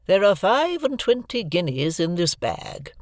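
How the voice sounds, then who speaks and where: A stately, eccentric old lady gives orders in a slow, emphatic voice, voiced by an audiobook reader. She sits far above the reader's usual pitch and weighs each word. It is recorded dry and close. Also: sing-song, no room sound. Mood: commanding. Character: imperious, eccentric old rich woman.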